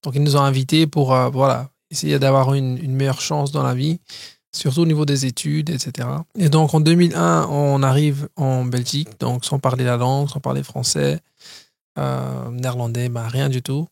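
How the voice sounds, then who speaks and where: Gravelly Brazilian-born man in his thirties. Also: medium pitch, fairly even intonation, moderate pace, a little mellow, no room sound, studio quality. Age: 30s. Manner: storytelling with frequent fillers and restarts. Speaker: guest entrepreneur. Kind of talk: casual podcast interview.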